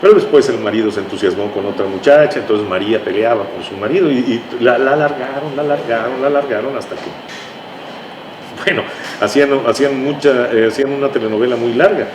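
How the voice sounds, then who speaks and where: Older male, Mexican accent, mid-pitched, conversational interview guest with halting explanations; roomy, noisy recording. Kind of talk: conversational reminiscing. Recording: echoey room with clear background noise.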